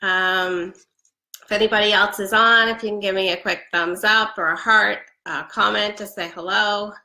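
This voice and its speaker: A woman's voice: medium pitch, steady tone, moderate pace, pushed and bright. Congested woman in her forties, chatty and upbeat on a webcam live stream, roomy echo. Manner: chatty, upbeat, many ums, laughs often. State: congested from a head cold. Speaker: product seller. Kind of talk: casual live stream chat. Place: living room with a Christmas tree. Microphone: webcam at close range. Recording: echoey room.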